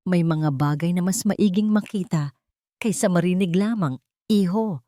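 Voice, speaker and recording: A grave older doctor played by a woman narrator confides in an audio storybook with calm, measured gravity. The delivery is secretive and fairly flat, with little swing in pitch, in a close, dry sound. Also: no echo, close to an everyday voice. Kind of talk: storybook character dialogue.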